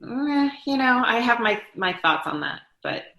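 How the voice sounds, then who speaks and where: A woman's voice: a little high, sing-song, unhurried, gravelly, bright, forceful tone. A middle-aged woman reminiscing casually as an interview guest, informal and rambling. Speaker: guest, daughter of an interviewed actor. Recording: roomy, echoey sound.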